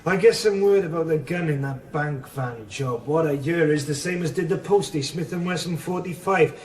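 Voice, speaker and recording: A controlled, distrustful male criminal voiced by an actor in a radio crime drama. He questions with measured menace. The sound is very roomy and a little distant from the mic. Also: on the high side, close to an everyday voice. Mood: suspicious. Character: suspicious, controlled male criminal backer, quietly threatening. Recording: echoey room, off-mic radio scene.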